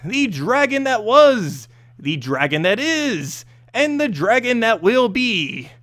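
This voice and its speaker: A man voicing a gleeful, self-important minor weather demon in a fantasy story, theatrical and excitable. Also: very high voice, slow, full character voice. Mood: gleeful, portentous.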